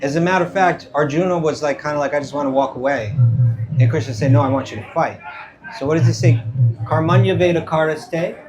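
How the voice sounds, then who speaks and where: A man's voice: on the high side, brisk, over music, rough recording. A man giving an informal scripture talk to a live audience as a spiritual teacher, conversational, checking in with listeners and acting out quoted dialogue. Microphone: event microphone.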